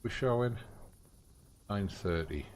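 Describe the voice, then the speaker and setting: A man's voice: fairly low pitch, expressive, takes it slowly, darkish tone, slight background noise. A man giving casual live commentary on a video game, thinking aloud in short muttered phrases. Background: video game music.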